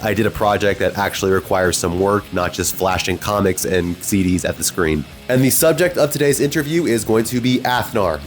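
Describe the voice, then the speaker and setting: Nasal, congested man hosting a music channel. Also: on the low side. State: congested, sick. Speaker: host interviewer of a music channel. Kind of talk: enthusiastic fan interview and vlog talk.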